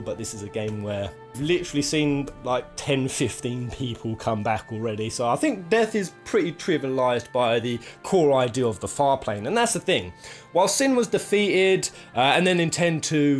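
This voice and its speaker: A man giving casual, opinionated video commentary as a host, rambling and emphatic, with a bit of swearing. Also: medium pitch. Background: instrumental music.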